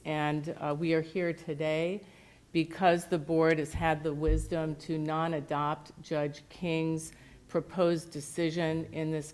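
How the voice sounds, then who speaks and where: Woman attorney, low voice, has a cold, formal and brisk argument. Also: a little mellow. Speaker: defense attorney. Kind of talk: legal argument.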